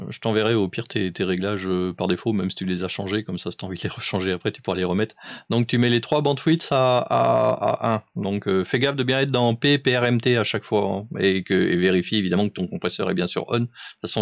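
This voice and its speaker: Guy with creaky fry in his voice, chatty but picky radio ham walking someone through settings, dry dull sound. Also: on the low side, dry recording. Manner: didactic, exacting, informal. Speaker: amateur radio operator coaching another on settings. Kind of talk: casual instructional radio chat.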